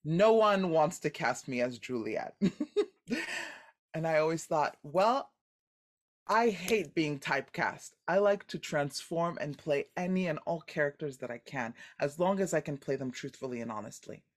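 Deep-voiced woman, animated and expressive. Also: normal speed. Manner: animated, expressive, laughs often. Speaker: guest actor. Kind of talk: casual podcast interview.

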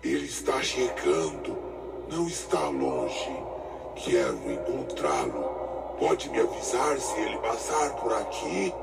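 A man playing a menacing inhuman dark rider in an audiobook, speaking in a slow, drawn-out way. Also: mid-range voice, wildly swooping pitch, vocal fry, heavily acted. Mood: slow menace. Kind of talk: dramatised audiobook. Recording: loud music over voice.

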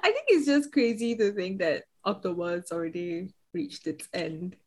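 A woman's voice: mid-range voice, expressive, moderate pace, darkish tone. A young woman from Singapore, hosting a podcast in casual, giggly chat full of fillers. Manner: chatty, laughs often, lots of "like" fillers. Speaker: podcast host.

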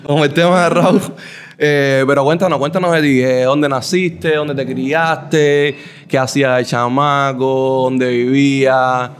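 A man's voice: medium pitch, steady tone, measured pace, a little hiss or hum. A man hosting a casual interview over drinks, playful and teasing, repeating words and using lots of slang. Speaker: podcast host.

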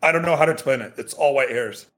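A man's voice: mid-range voice, fast talker, a bit bright. A man in his thirties, English his second language, joking and stumbling over restarts in a casual podcast chat. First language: not English. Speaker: streamer guest.

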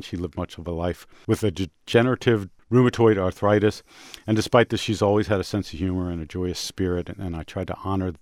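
Low-voiced man hosting a music show. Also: no room sound, clean studio sound. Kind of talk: casual chat introducing songs.

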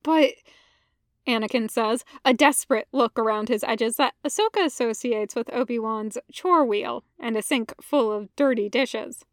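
A woman's voice: fairly high pitch, moderate pace, hardly any pauses, no room sound, clean studio sound. A woman reading scripted fan fiction aloud as a podfic narrator.

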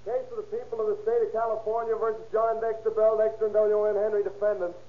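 An actor playing an officious court clerk proclaiming rote formulas in an old radio courtroom drama. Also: male voice, high-pitched, flat delivery, fast talker, natural voice. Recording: tinny old radio recording.

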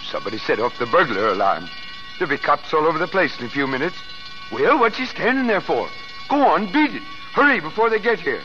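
A man's voice: fairly high pitch, big pitch swings, quick pace, sharp tone, faint music behind. An actor playing a stern, upright older American watchman in an old-time radio drama. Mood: urgent. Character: stern, upright older Bible-reading watchman, secretly soft-hearted. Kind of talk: 1930s-40s American radio drama acting. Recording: muffled, noisy vintage radio recording.

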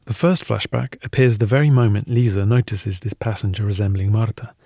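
A man's voice: on the low side, fairly even intonation, brisk, vocal fry, dark tone, breathy edge, dry recording, clean studio sound. A man reading scripted documentary narration as one of the narrators.

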